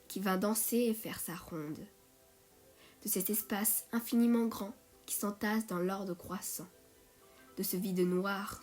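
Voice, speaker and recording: A woman reciting poetry in a measured way, phrase by phrase. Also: mid-range voice, a little mellow. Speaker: poet reading own poem. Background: music.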